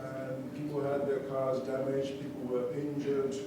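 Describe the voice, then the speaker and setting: A man's voice: mid-range voice, completely flat delivery, a little slow, noisy background. Man telling a story in an echoey hall. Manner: conversational storyteller with frequent fillers and restarts. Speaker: performer telling a life story between songs. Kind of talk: storytelling talk to a live audience. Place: concert venue. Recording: distant echoey hall recording with audience ambience.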